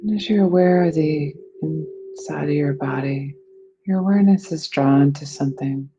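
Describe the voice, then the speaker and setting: A person leading a guided meditation in a soothing, unhurried manner. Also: mid-range voice, muffled recording, over music. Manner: soothing, unhurried phrasing with breathy releases.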